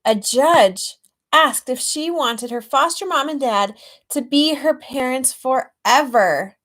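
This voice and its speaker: A woman reading a children's storybook aloud expressively and gently, with chatty asides to young listeners. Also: high voice, takes it slowly. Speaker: children's author reading own book aloud.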